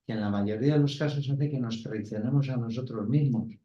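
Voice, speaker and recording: Thoughtful man, mellow voice, echoey room. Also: mid-range voice, a little fast. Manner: thoughtful, hesitant, searching for words. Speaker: panelist, Gestalt therapist. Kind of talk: round-table discussion. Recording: roomy, dull, lo-fi recording.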